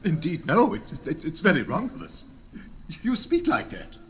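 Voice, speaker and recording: An actor playing a blustering theatre producer running a rehearsal, bossy, emphatic and easily exasperated. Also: male voice, fairly high pitch, huge pitch swings, moderate pace, slight background noise. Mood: delighted. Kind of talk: stage drama acting.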